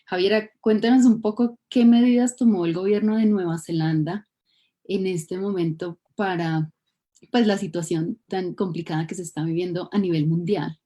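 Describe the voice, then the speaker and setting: A woman hosting an online interview, calm and explanatory as she introduces her guest. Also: fairly low pitch. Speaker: host.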